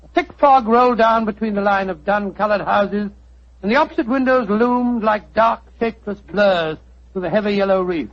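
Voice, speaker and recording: Genial elderly doctor narrator, high voice, old lo-fi radio. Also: male voice, normal speed. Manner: genial, fussy, a little flustered. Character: kindly older male doctor, storyteller and companion. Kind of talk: 1940s radio acting. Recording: dull old radio recording.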